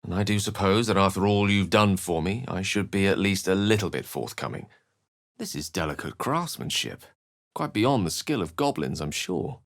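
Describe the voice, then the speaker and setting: Casting: erudite game wizard, lowish voice, wide melodic swings, urbane, dryly witty, theatrical and articulate. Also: male voice, expressive, normal speed. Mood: wry, conversational. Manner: theatrical, articulate, formal wording. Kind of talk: video game character dialogue.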